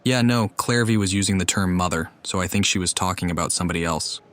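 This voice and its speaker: A man casually commenting over gameplay, thinking out loud in a relaxed way. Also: sits low, fast. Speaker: let's play gamer.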